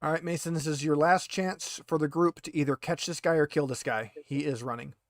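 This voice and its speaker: A man's voice: fairly high pitch, steady tone, fairly quick, no room sound, clean studio sound. A man narrating as game master in a casual, conversational way, explaining rules to players.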